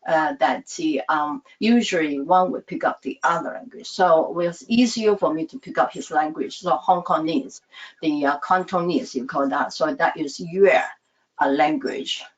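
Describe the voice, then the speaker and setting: A woman born in Taiwan whose first language is Taiwanese, a hospital staff interpreter speaking in soft, halting non-native English on a video call. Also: deep voice. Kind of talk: panel talk on a video call. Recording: dull lo-fi video call audio.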